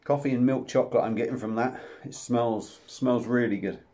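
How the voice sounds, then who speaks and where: A man's voice: mid-range voice, normal speed, warm tone. A man, casually reviewing a beer to camera at home, chatty and self-deprecating. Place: small room at home, indoors. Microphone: camera close up at desk level.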